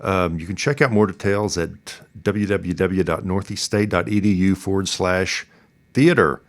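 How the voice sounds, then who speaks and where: A man hosting a podcast in a casual, easygoing, somewhat halting way. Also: low voice. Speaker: podcast host. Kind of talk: casual interview and sign-off.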